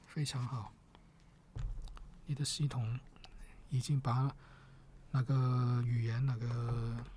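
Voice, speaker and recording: A man speaking gently and patiently in soft, partly whispered ASMR, with hesitant, step-by-step phrasing. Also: mid-range voice, a little hiss or hum. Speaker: ASMR performer.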